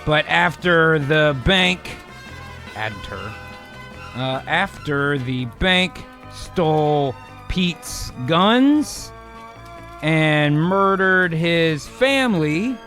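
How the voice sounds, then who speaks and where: A man with a slightly high voice narrates a story for an improvised comedy show. He takes his time, breaking off into halting, informal banter, with music playing behind him. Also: animated, sharp tone. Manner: profane, informal, halting asides.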